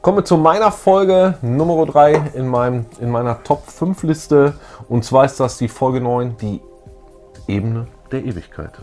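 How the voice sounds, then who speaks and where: A man's voice: medium pitch, measured pace, darkish tone, soft background music. A man around forty co-hosting a fan show, chatting and reading aloud in a jokey, colloquial way. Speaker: co-host of a retro fan show. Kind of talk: casual chat and reading aloud. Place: small indoor room full of collectibles.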